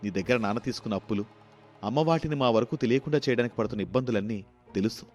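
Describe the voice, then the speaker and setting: A man's voice: medium pitch, rapid-fire, darkish tone, faint music behind, lightly acted. A man voicing a caring, dutiful grown son with an earnest, pleading manner in a moral story. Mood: earnest concern.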